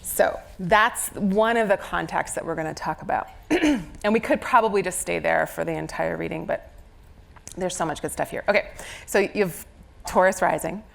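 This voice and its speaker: A woman's voice: fairly low pitch, moderate pace, creaky. A woman with a slight head cold, speaking conversationally on stage as an astrologer explaining a chart reading. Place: on stage at a live venue.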